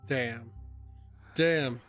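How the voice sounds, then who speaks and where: A man's voice: mid-range voice, very slow, fairly bright tone. A man giving a casual live reaction to a game as a streamer, blunt, sweary and joking. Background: game audio with faint music.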